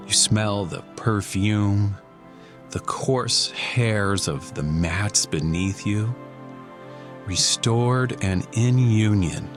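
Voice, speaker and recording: A man plays a soothing meditation guide in an audio drama. He speaks rather low and slowly, with a deliberate calm and clear music underneath.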